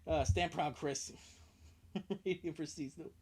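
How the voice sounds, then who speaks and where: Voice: medium pitch, unhurried, darkish tone, breathy edge. A streamer chatting casually during a live reading, joking and often breaking off mid-thought. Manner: joking, self-interrupting, giggly. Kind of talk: casual livestream chat.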